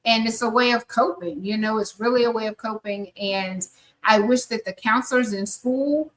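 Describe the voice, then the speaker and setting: A woman's voice: a little high, moderate pace, a bit bright, low-quality recording. A woman in her fifties hosting a live podcast interview, chatty and encouraging. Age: 50s. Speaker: podcast host.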